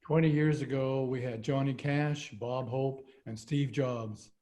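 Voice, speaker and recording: A man's voice: medium pitch, fairly even intonation, measured pace, warm tone. A man performing a reading of jokes and short comic stories over a video call. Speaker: readers theater performer.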